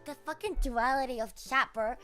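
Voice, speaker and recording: A woman's voice: sits high, normal speed, bright, forceful tone, faint music behind. A young woman with an Australian accent, chatting casually on a live stream and answering comments with sarcastic, swear-heavy banter and frequent laughter.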